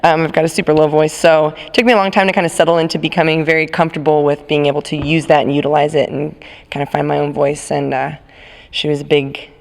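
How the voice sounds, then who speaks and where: A young woman, an alto singer, with a low smoky voice, chatting in an interview. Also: level tone, fast, vocal fry, in a large hall. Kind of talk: casual interview.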